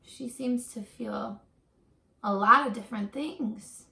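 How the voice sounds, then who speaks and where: A woman reads a children's picture book aloud in a slow, very bright voice, like a teacher speaking straight to her pupils. She is expressive and encouraging, stopping for little questions and asides. The room adds a noticeable echo. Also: fairly high pitch, gravelly. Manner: encouraging, expressive, talking directly to young children. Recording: roomy echo.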